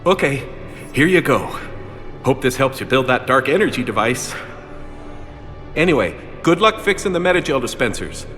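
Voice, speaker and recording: A man playing an eager, bumbling, apologetic fan of a space commander in a video game, excitable and easily flustered. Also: mid-range voice, normal speed, pauses often, soft background music, lightly acted. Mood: flustered, helpful.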